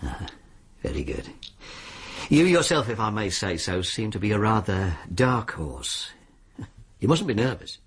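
An actor playing a suave, sly disbarred lawyer in a radio drama, with a British accent and an insinuating, politely formal way of talking. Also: male voice, fairly low pitch, very animated, a little slow, slightly rough, heavily acted. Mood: suave, reassuring. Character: suave, sly disbarred lawyer arranging sinister bets on deaths.

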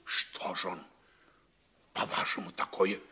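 An actor playing a pompous, blustering town mayor in a classic comedy audio play, imperious and declamatory. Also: male voice, sits low, flat delivery, slow pace, pushed and bright, roomy sound, exaggerated voice. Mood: stunned disbelief.

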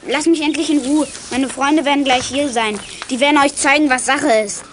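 A woman's voice: high-pitched, fairly even intonation, normal speed, fairly bright tone, slight background noise. A woman playing a cheeky, plucky schoolchild detective in a children's radio play. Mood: defiant.

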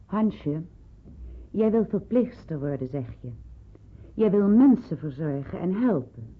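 A woman's voice: fairly low pitch, very animated, slow pace, full character voice. A woman playing a kindly but grave senior nurse in a radio drama, speaking earnestly and emphatically, as if counselling. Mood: grave, urging. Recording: muffled narrow-band old radio recording.

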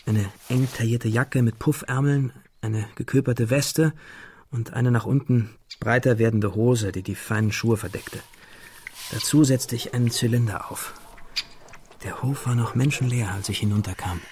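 An actor playing an adventurous young schoolboy hero, narrating his memories in a measured, literary radio drama style. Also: mid-range voice, fairly even intonation, a little mellow, slight background noise, lightly acted. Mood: reflective. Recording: studio radio drama with music behind. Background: music.

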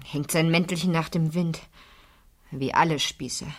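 A woman's voice: low-pitched, steady tone, normal speed, close to an everyday voice. An actress playing a precocious, blunt teenage girl in a radio crime drama, probing in pert, clipped phrases. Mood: defiant, self-assured. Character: precocious, blunt, stubborn fifteen-year-old girl.

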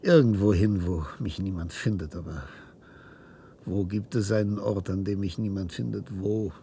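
An actor playing a weary, guilt-ridden old inventor in a science-fiction radio drama, halting and theatrical. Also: male voice, low-pitched, steady tone, vocal fry, slight background noise. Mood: despairing, resigned. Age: older. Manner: halting, theatrical delivery with hushed passages.

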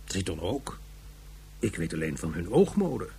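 A fussy male subordinate in a radio drama. His voice is markedly breathy and rough, rising and falling a lot. He talks formally, with theatrical indignation. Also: deep voice, very animated, unhurried, darkish tone, full character voice. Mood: skeptical. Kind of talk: Dutch radio drama acting.

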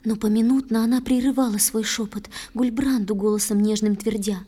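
A woman narrator telling a fairy tale in expressive verse, as in an audio play for children. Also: a little high, brisk, slightly rough. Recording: studio narration over soft music.

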